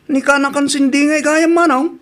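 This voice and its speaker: A woman's voice: sits high, fairly even intonation, moderate pace, natural voice. A woman acting a hospitable, exasperated husband in an Ilocano-accented radio drama. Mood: welcoming.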